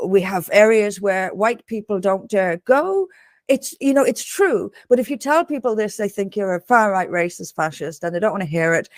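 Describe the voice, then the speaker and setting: A woman's voice: mid-range voice, dry recording, clean studio sound. A woman from Dublin with an Irish accent, speaking earnestly as a guest author in an interview, restarting and repeating words as she presses her points. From Ireland. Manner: emphatic, repeats words when building a point.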